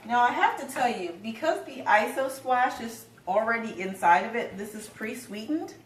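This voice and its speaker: A woman giving a relaxed, chatty recipe demonstration at home, talking with plenty of fillers. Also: a little high, moderate pace, reverberant, recorded from a distance, slight background noise, rough recording. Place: home kitchen.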